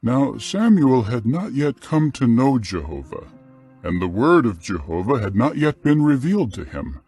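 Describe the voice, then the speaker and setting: A man narrating dramatized scripture in a solemn, measured, clearly phrased manner. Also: medium pitch, sing-song, darkish tone, soft background music.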